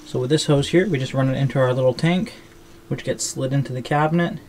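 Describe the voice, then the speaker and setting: A man's voice: mid-range voice, steady tone, fast, darkish tone. A man giving a casual, hands-on how-to narration as a DIY video host. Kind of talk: casual how-to narration of a trailer plumbing setup. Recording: clear background noise.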